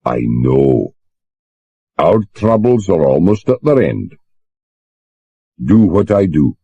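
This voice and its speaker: A man voicing a grave, steady older sailor with a Scottish accent, speaking slowly and with measured calm. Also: sits low, husky, no room sound, narrow, tinny audio, full character voice.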